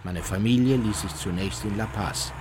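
Male actor as former Nazi emigrant memoirist, radio docudrama. Low voice, sober and measured, slight ambient noise. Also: lightly acted. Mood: measured, reflective.

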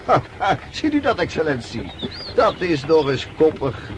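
A man's voice: on the high side, big pitch swings, measured pace. An actor playing a simple, deferential coachman in a radio drama, chatty, folksy and delighted. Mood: cheerful wonder. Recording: muffled, noisy old radio drama recording.

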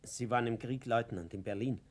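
Man doing a worried little old guy from vienna, pleading and hesitant, average pitch, old radio play. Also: brisk, lightly acted. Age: older. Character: humble, anxious old man from Vienna pleading to be declared sane. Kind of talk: German radio play acting.

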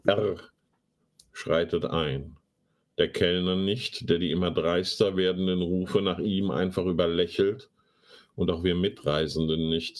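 Deep-voiced sarcastic man. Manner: sarcastic, slangy. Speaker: host of a live online talk show. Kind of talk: casual commentary with mocking read-aloud.

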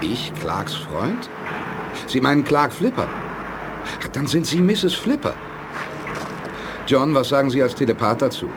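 An actor playing a self-assured, probing leader of a space-age base in a 1980s German radio play, authoritative and deliberate. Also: male voice, medium pitch, very animated, background noise.

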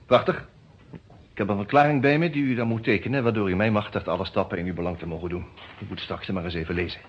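Guy acting formal lawyer in an old radio detective play, deepish husky voice, businesslike, dull muffled sound. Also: slight background noise, natural voice. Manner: measured, formal, businesslike, hesitant 'eh' pauses. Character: formal, cautious male defence lawyer who also narrates. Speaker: actor in a radio detective serial.

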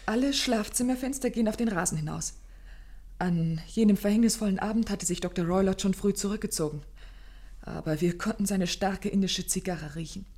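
An actress playing an anxious, grieving young gentlewoman who tells her story formally and intensely in a German radio play. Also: medium pitch, brisk, natural voice. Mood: grave, composed. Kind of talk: German radio play acting, dramatic first-person narration.

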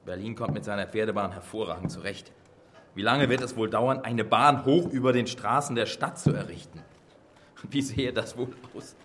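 A man's voice: on the low side, moderate pace, fairly bright tone, slight background noise, close to an everyday voice. Pompous, skeptical Berlin official played by a man. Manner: formal, pompous, dismissive. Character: condescending, skeptical 19th-century Berlin city official. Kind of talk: audio docudrama acting.

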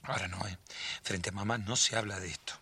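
An actor playing a suspicious, anxious grown son in a radio drama, with a Rioplatense Argentine accent and a hesitant, repetitive way of talking. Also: male voice, sits low, steady tone, brisk, pushed and bright, breathy edge, no echo, heavily acted. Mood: worried, urgent.

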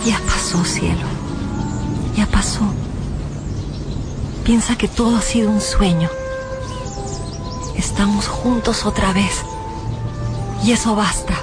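An actress playing a tender, anxious wife in a radio drama, with a warm, emotional way of speaking over music. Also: female voice, medium pitch, fairly even intonation, slow pace, long pauses, lightly acted. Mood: tender, soothing. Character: tender, anxious wife relieved her husband survived. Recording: music bed behind the voice.

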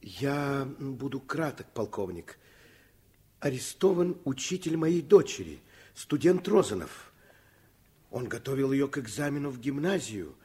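An actor playing a kindly, stubborn middle-aged doctor and father, husky and courteous, in a radio drama. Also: medium pitch, sing-song, unhurried, full character voice. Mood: determined. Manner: gentle, repeats words, courteous. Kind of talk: Soviet radio drama acting.

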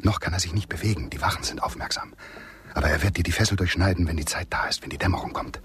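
An actor playing a loyal, steady frontiersman in hiding, reassuring in an urgent half-whisper in a radio drama. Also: deep voice, fast talker, a little hiss or hum. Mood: hushed reassurance. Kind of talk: German radio drama acting. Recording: studio radio drama with prominent music behind.

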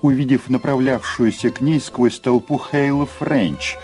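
A man reads dramatic story narration for a radio play. His voice is medium in pitch and mellow, with faint music behind it. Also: measured pace.

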